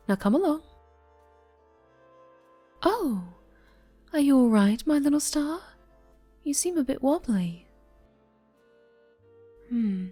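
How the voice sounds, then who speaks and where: Woman acting a slow-talking, possessive dark angel superheroine. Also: mid-range voice. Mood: cooing, doting. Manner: cooing, condescending, softly menacing. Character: possessive, sinister winged female superhero, obsessive dark angel. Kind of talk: slow, intimate ASMR roleplay acting.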